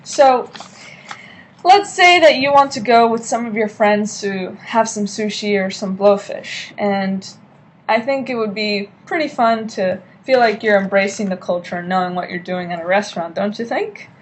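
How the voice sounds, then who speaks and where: A young woman talks straight into her laptop webcam from a lamp-lit hotel room, presenting a class speech in a loose, chatty way. Her pitch sits in the middle of the usual range, and she keeps tossing in "okay?" to the listener. Faint room noise hums under the recording. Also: moderate pace. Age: young adult. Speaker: student giving a class speech. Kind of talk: informal informative speech. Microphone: laptop webcam at desk.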